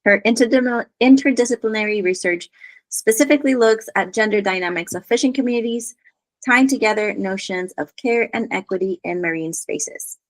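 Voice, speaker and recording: A woman's voice: mid-range voice, moderate pace, no echo, low-quality recording. A woman host reading an introduction for the next speaker at an event.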